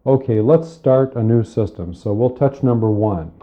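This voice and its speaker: A man giving a methodical, step-by-step spoken computer lesson, explaining with pauses, recorded on cassette tape. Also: mid-range voice, dark tone, muffled recording. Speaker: software tutorial instructor.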